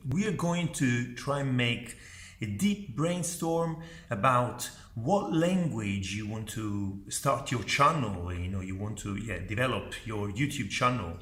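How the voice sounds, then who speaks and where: Man, native Italian, casual vlog advice, lots of fillers, mid pitch, echoey room. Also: animated, a little slow, a bit bright. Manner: thinking aloud, many fillers and "okay?" check-ins. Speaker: YouTuber. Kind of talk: casual advice talk to camera. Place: at home by his computer.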